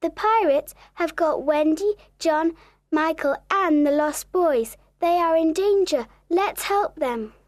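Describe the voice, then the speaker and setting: Eager little storybook youngster , played by a woman, extremely high voice, slow and emphatic. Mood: urgent. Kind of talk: acted children's audio story.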